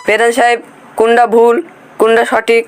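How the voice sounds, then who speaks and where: A man acting a meek, deferential blind servant in an amateur village drama, with loud music over him. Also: very high voice, monotone, fairly quick.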